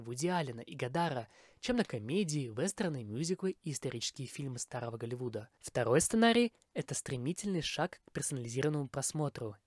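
A man's voice: mid-range voice, a little mellow, no echo, studio quality. A man from Kazakhstan hosting a podcast, delivering a scripted review monologue. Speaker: podcast host.

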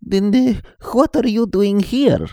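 A man's voice: high voice, animated, slow, mellow, no echo, clean studio sound, voice pushed up, full character voice. A man voicing a nervous human visitor in a raised, strained voice. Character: panicked young human man, male.

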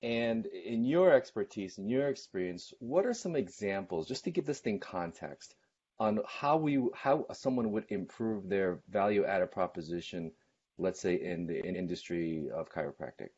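A man co-hosting a podcast, putting questions to a guest conversationally and rephrasing haltingly as he goes. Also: sits low, lively intonation, a little mellow, husky, muffled recording. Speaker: podcast co-host interviewing a guest.

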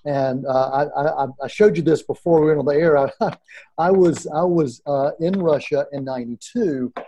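A man's voice: on the high side, normal speed, dark tone. A middle-aged man hosting an interview, speaking conversationally in hesitant, broken-up phrases. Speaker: interview show host.

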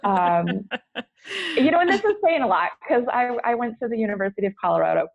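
American woman, creaky and slightly husky. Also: on the high side, animated, brisk, narrow, tinny audio. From United States. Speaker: podcast guest. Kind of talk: candid personal storytelling in an interview. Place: at home, remote podcast call.

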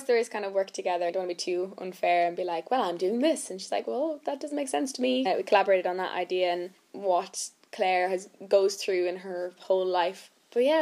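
Young woman, Irish accent, medium pitch, casual animated interview chat, actress guest. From Ireland.

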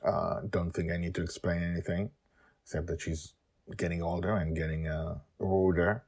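A man's voice: deep voice, little pitch movement, moderate pace, vocal fry, darkish tone, slightly rough. A man hosting a storytelling podcast, moving between casual talk and scripted narration with theatrical emphasis.

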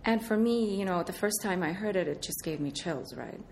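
A woman from Iraq answering interview questions, soft-spoken, often hesitating and laughing. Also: medium pitch, fairly quick, a little hiss or hum. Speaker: artist, interview guest.

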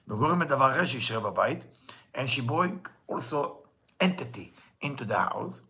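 A man's voice: medium pitch, pushed and bright. A man with a Hebrew accent, a native Hebrew speaker, giving an earnest weekly Torah lesson as a Kabbalah teacher, asking rhetorical questions and quoting texts in Hebrew. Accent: Israeli Hebrew.

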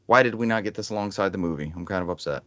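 A man's voice: low-pitched, rapid-fire, pushed and bright, no echo, muffled recording. A man hosting a casual gaming news chat in a joking, sometimes profane way. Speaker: podcast host.

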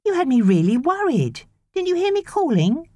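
Woman doing a teen girl babysitter, chatty and caring, pitched up, swoops about, storybook style. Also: very animated, moderate pace, darkish tone, no room sound. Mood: worried.

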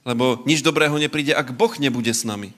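A man's voice: medium pitch, fairly quick, a bit bright. Man interpreting a sermon phrase by phrase. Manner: translates phrase by phrase, hesitant fillers and restarts. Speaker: church interpreter.

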